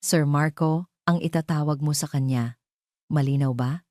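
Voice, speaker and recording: A woman's voice: low voice, fairly even intonation, moderate pace, a little mellow, dry recording, clean studio sound, lightly acted. Stern old Filipino head housekeeper voiced by a woman. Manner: strict, formal, rule-giving. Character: stern, unsmiling elderly female head housekeeper.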